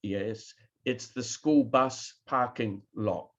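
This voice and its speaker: Slow-spoken New Zealand man lecturing. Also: medium pitch. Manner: addresses a live online audience, asks for reactions. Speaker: keynote speaker. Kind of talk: online conference keynote lecture.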